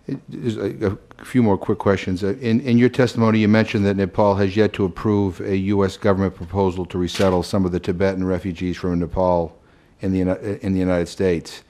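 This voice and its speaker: Male hearing chairman, very low pitch, halting questioner with frequent restarts. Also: level tone, dark tone. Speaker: committee chairman.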